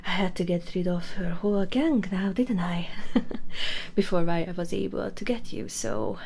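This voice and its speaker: A woman playing a proud, possessive vampire baroness in an intimate ASMR audio roleplay, imperious and breathy. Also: lowish voice, animated, unhurried, a little mellow, a little hiss or hum. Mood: weary, proud. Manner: breathy half-whispers, imperious and teasing.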